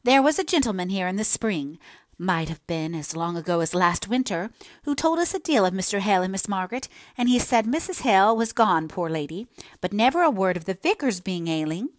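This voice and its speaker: A woman's voice: mid-range voice, a little fast, no echo, close to an everyday voice. A woman voicing a bustling, motherly older country landlady who exclaims and fusses, read for an audiobook. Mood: bustling fond. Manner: excited, exclaiming, fussing. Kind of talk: audiobook character reading.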